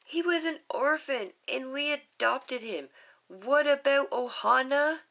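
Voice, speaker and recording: A woman voicing an eager, stubborn little girl in a storybook reading, with a high childlike voice. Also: takes it slowly, gravelly, fairly bright tone, heavily acted. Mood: eager pleading.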